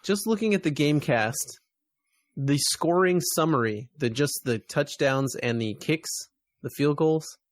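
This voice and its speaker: A man's voice: fairly high pitch, measured pace, dry recording, studio quality. A man co-hosting a podcast, chatting casually about sports. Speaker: podcast co-host.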